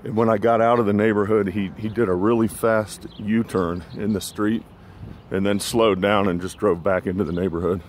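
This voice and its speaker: A man giving casual, rambling, low-key vlog narration on a phone while out walking. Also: fairly even intonation, moderate pace. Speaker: vlogger. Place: outdoors on a sidewalk beside a busy road. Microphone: handheld phone. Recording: outdoor phone recording with traffic noise. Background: passing cars, street ambience.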